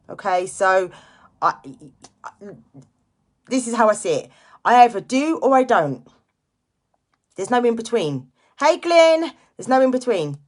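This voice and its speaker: Woman, late 40s, mid-pitched voice. Informal, emphatic motivational live-streamer who talks straight to viewers and drives points home by repetition. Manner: emphatic, repeats key phrases for effect; chatty, informal direct address to listeners. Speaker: live-stream host, direct seller giving motivational talk.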